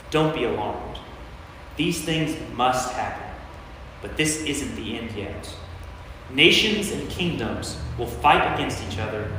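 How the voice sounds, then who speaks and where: A man's voice: medium pitch, fairly bright tone. Commanding teacher in an echoing church, acted by a man. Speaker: lay performer in a church staged reading. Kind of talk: staged scripture reading. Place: large reverberant church. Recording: echoey church, distant mic, faint noise.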